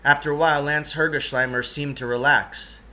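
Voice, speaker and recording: Very bright-voiced man reading a story. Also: a little high, fairly even intonation, normal speed. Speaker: reader, teacher reading aloud. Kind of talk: audiobook-style storytelling of a children's novel.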